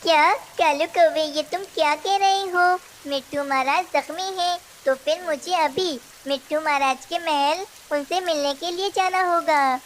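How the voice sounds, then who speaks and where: A little female cartoon bird voiced by a woman talks in a high, earnest voice. She is trusting, plaintive and quick to get upset. A faint hiss runs underneath. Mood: alarmed. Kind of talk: Hindi children's cartoon dialogue.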